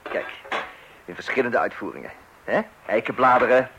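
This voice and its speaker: A man's voice: mid-range voice, animated, normal speed, lightly acted. An actor in a scripted radio comedy playing a stubborn, overconfident, self-satisfied handyman husband and father. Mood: proud explaining. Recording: noisy old radio play recording.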